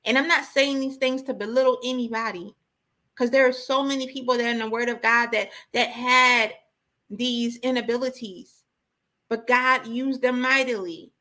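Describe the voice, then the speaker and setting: A woman's voice: fairly high pitch, fairly even intonation, moderate pace, bright, forceful tone. A woman giving an impassioned, informal sermon as a preacher, exhorting emphatically and repeating key phrases.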